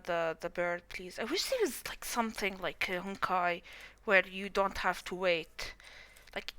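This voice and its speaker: A woman's voice: medium pitch, bright, forceful tone. A woman streamer chatting casually and rambling over her gameplay, often correcting herself mid-sentence. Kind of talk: casual game stream commentary.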